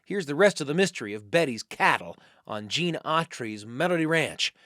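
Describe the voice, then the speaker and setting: A man's voice: a little high, lively intonation, moderate pace, bright, forceful tone, dry recording, studio quality. An American man hosting a radio show, presenting scripted links in a theatrical, folksy storytelling manner.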